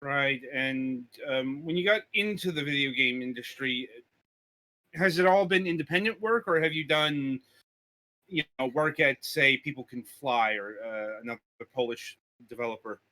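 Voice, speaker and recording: A man's voice: medium pitch, moderate pace, sharp tone, rough recording. A man hosting an interview show, asking questions in a loose, chatty way full of fillers. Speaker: podcast interview host.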